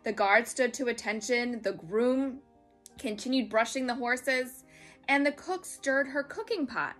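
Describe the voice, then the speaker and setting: A woman storyteller reading a children's story aloud in a dramatic, expressive way. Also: on the high side, unhurried, faint music behind.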